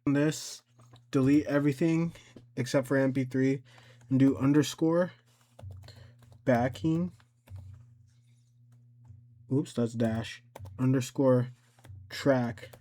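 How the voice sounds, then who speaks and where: A man giving an informal step-by-step software tutorial, thinking aloud and somewhat hesitant as he goes. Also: mid-range voice, takes it slowly, long pauses. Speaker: tutorial host.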